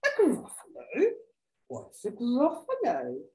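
A woman voicing a sly, easily frightened snake in a children's storybook, with exaggerated, drawn-out delivery. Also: a little high, huge pitch swings, warm tone, recorded from a distance, voice pushed up, exaggerated voice. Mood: puzzled. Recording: roomy echo.

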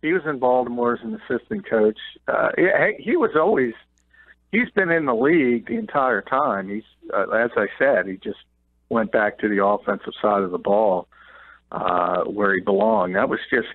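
A man calls in over a thin, tinny phone line, his voice dry and close. He breaks down football news in a quick, chatty way with short laughs. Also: medium pitch, expressive, no echo. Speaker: NFL columnist guest. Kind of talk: sports radio analysis by phone.

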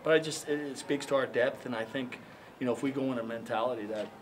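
An older man, a basketball head coach at a postgame press conference, answering reporters haltingly with many fillers and repeated words. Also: medium pitch. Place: press room in front of a sponsor backdrop. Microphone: handheld mics held up by reporters. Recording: noisy press room.

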